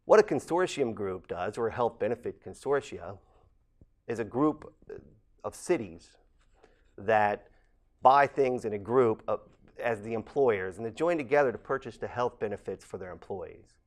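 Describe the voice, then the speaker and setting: Earnest man, lowish voice. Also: lively intonation. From Ohio, USA. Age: late 40s. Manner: measured, earnest, formal. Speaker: council president candidate. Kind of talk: campaign statement to camera.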